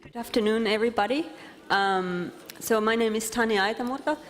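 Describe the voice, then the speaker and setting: A woman from Finland, presenting at a conference into a microphone, earnest, with many pauses and fillers. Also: fairly high pitch. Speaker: conference speaker. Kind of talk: presentation talk. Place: conference hall.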